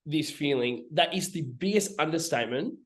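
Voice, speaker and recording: A young man with an Australian accent and a croaky voice, hosting a casual chat in an emotional way, often stumbling and restarting his sentences. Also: fairly high pitch, lively intonation, moderate pace, a bit bright. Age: young adult. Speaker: podcast host.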